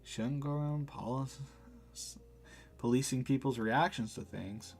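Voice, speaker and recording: A man's voice: mid-range voice, unhurried, soft background music. A man voicing a laid-back, wry and reassuring cafe barista in a visual novel. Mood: wry, matter-of-fact. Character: laid-back, dry, kindly cafe barista guiding the dead.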